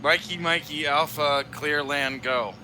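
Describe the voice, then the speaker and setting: A man's voice: fairly high pitch, fairly even intonation, a little slow, bright, forceful tone. A young man in casual voice chat, playing a joking air traffic controller who throws out random, silly lines. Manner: random, silly non sequiturs, mumbling. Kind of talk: goofy in-game voice chat.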